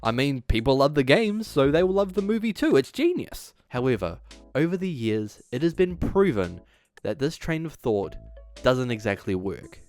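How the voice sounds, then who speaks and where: A man's voice: mid-range voice, very animated, moderate pace, darkish tone, faint music behind. A man hosting a video essay, reading a script with emphatic delivery and dropping in casual asides.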